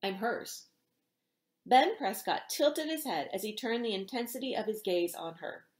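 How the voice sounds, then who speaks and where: A woman's voice: fairly high pitch, big pitch swings, normal speed. Middle-aged woman reading aloud in a roomy space. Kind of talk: expressive audiobook-style story reading. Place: small indoor room with bookshelves. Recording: roomy home echo.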